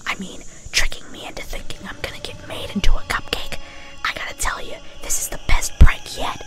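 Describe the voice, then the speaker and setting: A woman's voice: fairly quick, fairly bright tone, close to an everyday voice. Woman doing a scared girl pony captive, urgent desperate whisper, music behind. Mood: nervous relief. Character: frightened, pleading female pegasus pony captive. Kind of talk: whispered ASMR storybook reading.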